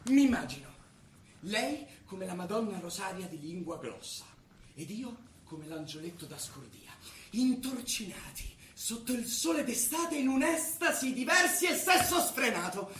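An actor plays an excitable young man drunk on lust and poetry in a stage comedy. His bright voice swings widely as he exclaims and declaims rapturously. It sounds roomy and far from the mic. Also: high-pitched, unhurried, full character voice. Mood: rhapsodic. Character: lovestruck, lewd, excitable young male poetry dreamer. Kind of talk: live comic stage acting. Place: theatre stage, recorded from a distance. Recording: echoey theatre, distant mic, faint noise.

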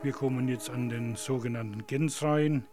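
A man who guides listeners outdoors by the river, explaining calmly in a field recording. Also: medium pitch, normal speed. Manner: calm, explanatory. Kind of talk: field reportage.

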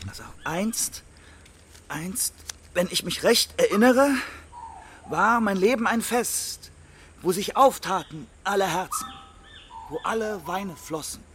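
An actor playing a manic, dreamy young man in a German radio drama, halting and theatrical, swinging between whispers and shouts. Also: sits high, animated, exaggerated voice. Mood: tender, dreamy. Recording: clearly noisy background.

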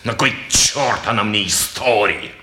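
Язвительного мужчины, резкий яркий голос. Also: male voice, fairly low pitch, a little slow, bright, forceful tone, a little hiss or hum. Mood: disdainful. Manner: affected, disdainful. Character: vain, sneering, suspicious man. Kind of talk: 1950s Russian stage acting. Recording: roomy old stage-play recording.

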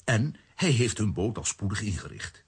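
A man's voice: lowish voice, very animated, normal speed, breathy edge, full character voice. A man giving scripted narration as the storyteller of a children's audio drama.

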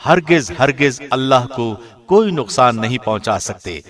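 Solemn mid-pitched man reading scripture translation. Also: lively intonation, a bit bright. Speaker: translation reader, radio host.

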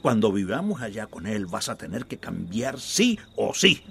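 An actor playing a stern middle-aged father in a radio drama, scolding and domineering, with a rough voice. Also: fairly low pitch, sing-song, moderate pace, fairly bright tone, heavily acted.

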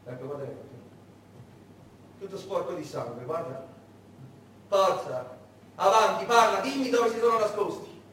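A man's voice: high-pitched, measured pace, long pauses. A man playing a menacing wartime interrogator who cajoles and threatens in a theatrical monologue. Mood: impatient pressing. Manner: cajoling, threatening, building to shouting. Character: menacing interrogator, falsely friendly then enraged, wartime soldier. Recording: very echoey stage, distant mic, faint noise.

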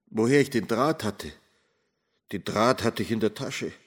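An actor playing a self-justifying, evasive Bavarian murder suspect in a radio play confession, speaking colloquially with a Bavarian accent. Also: male voice, on the low side, expressive, unhurried, husky. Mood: subdued, haunted.

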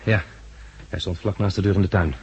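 A man's voice: low voice, steady tone, fairly quick, lo-fi sound, lightly acted. A man playing a level-headed, sombre survivor in a 1960s radio drama, matter-of-fact in manner. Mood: grave. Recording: hissy 1960s radio recording.

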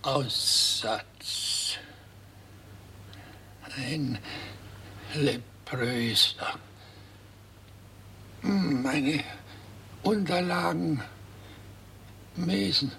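An actor playing a dying doctor in a radio crime drama, barely conscious, gasping out halting, broken words. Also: male voice, on the high side, gravelly, sharp tone, a little hiss or hum, heavily acted. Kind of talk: 1960s German radio crime drama acting.

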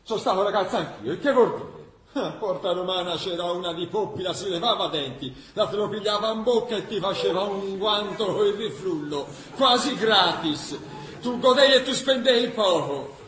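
An actor playing a bawdy, blustering middle-aged sacristan uncle in a radio play, with an earthy, exclamatory Tuscan delivery. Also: male voice, high voice, normal speed, fairly bright tone, reverberant. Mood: bawdy, boastful. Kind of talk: radio theatre acting.

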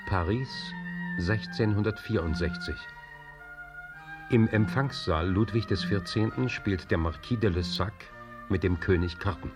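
An actor as a narrator setting the scene of a radio play, measured and formal. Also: male voice, fairly low pitch, music in the background, lightly acted. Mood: neutral.

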